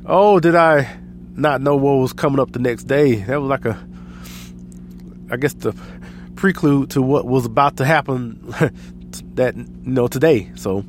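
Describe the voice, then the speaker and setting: A man's voice: mid-range voice, a little slow, long pauses, a little hiss or hum. An American man with a stuffy, allergy-congested nose hosts a podcast in a casual, rambling, self-deprecating way. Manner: self-deprecating, chuckles at himself. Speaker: podcast host.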